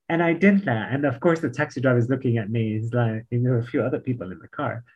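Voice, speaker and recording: A man from Palestine with a British accent, a memoir author telling lively stories in an interview and acting out other voices. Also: medium pitch, brisk, breathy edge, muffled recording. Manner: animated anecdotes, laughs often, acts out voices. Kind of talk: storytelling in an interview.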